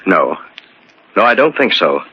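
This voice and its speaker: Husky, low, scheming husband. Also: male voice, sing-song, a little slow, heavily acted. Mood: casually dismissive. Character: smooth-mannered scheming husband, curt employer. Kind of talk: old-time radio drama acting. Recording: dull, dry old radio recording.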